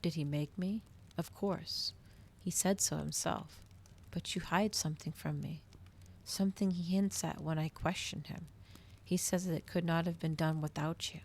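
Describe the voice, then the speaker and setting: A woman voicing a cold, demanding child vampire girl in a storybook, with hushed, insistent questioning. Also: low-pitched, fairly even intonation, normal speed, vocal fry. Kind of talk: ASMR storybook character reading.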